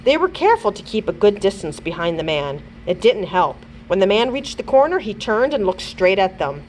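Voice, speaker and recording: Animated woman reading to children. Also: medium pitch, normal speed, a little hiss or hum, poor audio. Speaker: librarian reading aloud. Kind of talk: expressive children's chapter book read-aloud.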